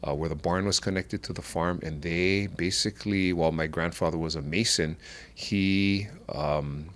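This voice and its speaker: A middle-aged man from Oahu with a Hawaiian accent, talking as a podcast guest in a halting way with many restarts. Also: low-pitched, steady tone. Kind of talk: casual conversational interview.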